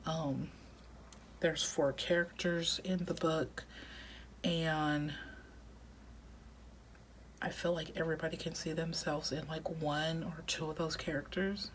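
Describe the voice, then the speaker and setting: A woman in her thirties chatting casually while decorating a planner, reflective and talkative, often dropping into soft half-whispers, her voice going a little raspy from a long day of recording. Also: sits low, slight background noise. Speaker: planner vlogger. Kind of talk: casual plan-with-me chat.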